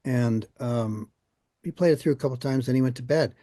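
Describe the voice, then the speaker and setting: A man's voice: mid-range voice, moderate pace, warm tone, no echo, studio quality. An older man telling anecdotes casually and conversationally in an interview. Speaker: musician being interviewed.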